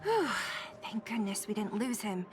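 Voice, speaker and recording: An actress voicing a young woman in a video game, an alert and cautious ally who talks with brisk urgency. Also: mid-range voice, very animated, lightly acted. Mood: wary, urgent. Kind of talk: video game character dialogue. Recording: clear ambient noise behind the voice.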